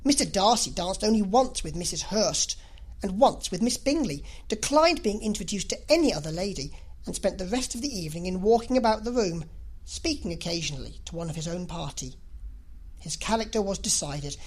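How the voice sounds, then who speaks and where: A woman's voice: medium pitch, lively intonation, a little fast, darkish tone, breathy edge. A woman reading a classic novel as an audiobook narrator, in a scripted literary style.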